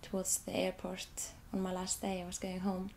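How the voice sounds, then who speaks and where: A woman's voice: lowish voice, completely flat delivery, moderate pace, vocal fry, a little mellow, a little hiss or hum. An Icelandic woman who speaks English as a second language. She is an artist talking hesitantly about her photographs, often repeating words and restarting sentences. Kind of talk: interview, describing her photographs.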